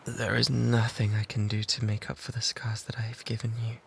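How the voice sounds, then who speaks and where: A man's voice: fairly low pitch, monotone, fairly quick, fairly bright tone. A British man playing an obsessive, lovesick pirate in a romantic ASMR roleplay, pleading fervently and breathily, close to a binaural microphone. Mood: remorseful. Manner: fervent, breathy pleading that often drops toward whispers. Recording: noticeable background noise and ambience.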